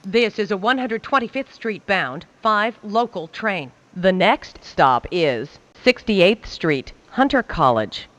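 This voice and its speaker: A woman reading scripted subway train announcements in a measured, carefully enunciated way, phrase by phrase. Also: mid-range voice, animated, fairly bright tone, dry recording. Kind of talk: scripted automated transit announcements.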